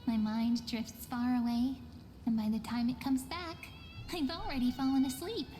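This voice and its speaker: Woman playing a high-voiced, dreamy young game heroine. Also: moderate pace, dark tone, natural voice. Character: playful, dreamy young woman, gentle storyteller. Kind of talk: video game character acting. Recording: long hall reverb under clear music.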